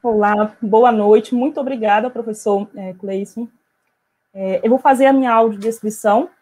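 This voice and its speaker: A middle-aged woman from Bahia, giving an academic talk over a video call on an earphone headset, measured and full of hesitations. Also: a little high, steady tone, mellow. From Bahia, Brazil. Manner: measured, many hesitation fillers. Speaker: invited speaker, author. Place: small room at home, indoors.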